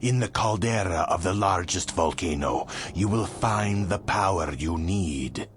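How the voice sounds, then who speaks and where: A man playing a solemn, commanding sorcerer in a fantasy game cutscene, speaking in a formal, measured, portentous way. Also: sits low, a little hiss or hum. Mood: grave, commanding. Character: solemn, commanding male sorcerer guiding a chosen hero.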